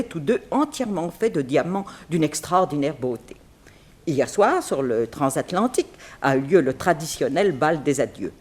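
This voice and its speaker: An actress playing a wealthy, talkative, scatterbrained middle-aged widow in a theatrical, grand and fussy manner, in 1960s French radio drama style. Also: female voice, sits low, a little mellow, slightly rough, full character voice. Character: wealthy, talkative, scatterbrained American banker's widow and society aunt.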